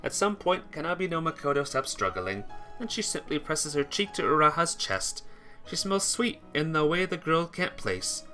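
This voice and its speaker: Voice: mid-range voice, sing-song, normal speed, pushed and bright, faint music behind. An amateur reader narrating a visual novel expressively, as a story.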